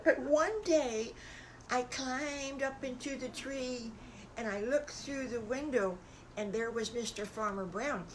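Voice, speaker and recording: Woman acting a little orphan wolf boy, childlike, earnest, unhurried, storybook character, faint background noise. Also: high voice, pitched above the performer's own voice. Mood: eager. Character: eager young orphan wolf boy, polite and innocent.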